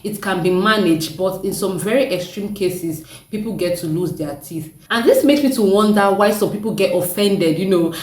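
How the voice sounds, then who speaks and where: A young woman with a deep voice, chatting casually to her viewers and laughing often. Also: a little mellow, far from the mic. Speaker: vlogger. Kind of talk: casual chat to camera. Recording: echoey room, mic set back.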